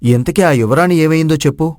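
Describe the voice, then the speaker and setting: A man's voice: fairly high pitch, a little fast, a little mellow, dry recording, clean studio sound, close to an everyday voice. A man voicing a dutiful young prince, eager for adventure, speaking respectfully in a dramatized moral story. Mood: curious.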